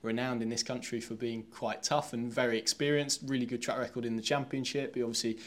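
A man's voice: mid-range voice, little pitch movement, fast talker, fairly bright tone. A man asking long, conversational questions as a sports interviewer. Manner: long, wordy questions with repeated words.

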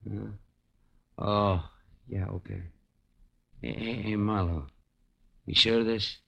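An actor playing a hesitant, easily swayed gang sidekick in a 1940s radio drama. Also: male voice, lowish voice, steady tone, slow pace, gravelly, a bit bright, heavily acted. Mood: doubtful. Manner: hesitant, puzzled. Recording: dull 1940s radio recording.

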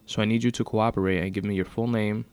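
A man's voice: on the low side, level tone, fast talker, a little mellow, clear, resonant tone, lightly acted. A man voicing a polite, by-the-book male police officer with formal, procedural speech in a narrated story. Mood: firm, formal.

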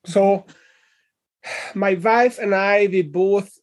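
Middle-aged man, Hungarian accent, fairly high voice; thoughtful interview guest, lots of "uh" and restarts. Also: fairly even intonation, very slow. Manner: thoughtful, many fillers and restarts. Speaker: guest, leadership coach and author. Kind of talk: conversational interview answer.

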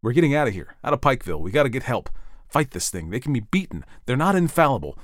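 A man voicing a desperate, urgent father in an audiobook story, barking out forceful commands. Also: mid-range voice, big pitch swings, quick pace, dry recording, voice pushed up, natural voice. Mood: urgent, insistent. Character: desperate, urgent father fleeing an alien invasion. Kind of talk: dramatized audiobook reading.

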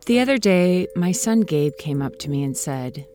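A woman with a fairly low voice narrates her podcast in a calm, gentle way. The delivery is scripted and unhurried, with faint music beneath her words. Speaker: podcast host.

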